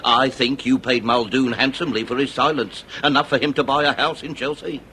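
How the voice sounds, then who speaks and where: A man's voice: medium pitch, steady tone, a little fast, bright, forceful tone, a little hiss or hum, natural voice. A man acting a shrewd, relentless Victorian police inspector in a period radio drama, with formal, declamatory delivery. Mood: measured, accusing. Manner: formal, declamatory, theatrical interrogating. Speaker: actor.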